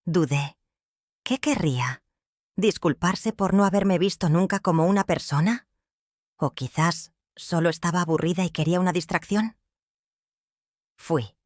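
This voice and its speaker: An older woman with a Mexican accent narrating her own life story in a slow, heartfelt, reflective way. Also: fairly low pitch, darkish tone, no room sound, studio quality. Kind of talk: first-person storytelling narration.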